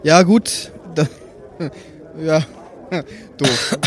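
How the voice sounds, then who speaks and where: A man's voice: fairly high pitch, extremely slow, some chatter behind. A man chatting flippantly as a player in an improvised tabletop roleplaying game. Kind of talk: casual improvised roleplay chat.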